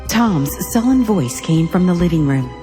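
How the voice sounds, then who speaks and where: A woman's voice: on the low side, expressive, normal speed, warm tone. A woman narrating a scripted dramatic story as the storyteller of an audio series. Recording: long reverb with music behind. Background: background music.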